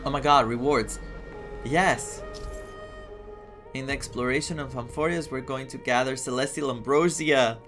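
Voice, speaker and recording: A man from Greece doing game playthrough commentary and reading game text aloud in an expressive, enthusiastic manner. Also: medium pitch, moderate pace, pauses often. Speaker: gaming streamer. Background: game music.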